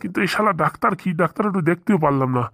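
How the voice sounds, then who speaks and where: A man plays a bossy village gentleman itching to get married in a comic cartoon. He talks fast and folksy, rambling along in dialect. The sound is close and dry. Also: high voice, lively intonation, no room sound, full character voice. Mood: musing. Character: eager marriage-hungry village gentleman, bossy boss to two helpers. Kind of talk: comic Bengali cartoon dialogue.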